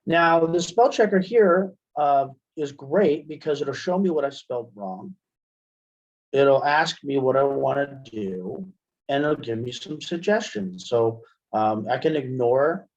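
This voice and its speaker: A man giving a casual software demonstration as an instructor, conversational and thinking aloud as he goes. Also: mid-range voice, unhurried. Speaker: instructor, webinar presenter. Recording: dull, band-limited webinar audio.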